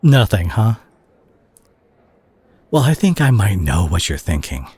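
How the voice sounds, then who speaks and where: A man acting a concerned superhero who confronts a reckless friend in a dramatic audio roleplay, speaking earnestly and haltingly with a husky, breathy voice. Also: on the low side, sing-song, darkish tone, dry recording, exaggerated voice. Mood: somber, pained.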